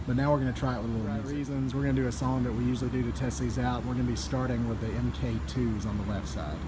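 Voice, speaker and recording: A man's voice: mid-range voice, fairly even intonation, racing. A man giving a casual, conversational product demonstration as an audio gear reviewer and dealer, explaining things informally, recorded in a noisy room.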